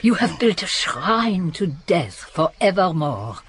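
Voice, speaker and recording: An actress in a radio drama playing a villain's devoted, macabre consort, husky and fawning. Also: female voice, on the low side, sing-song, slow, full character voice. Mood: fawning admiration.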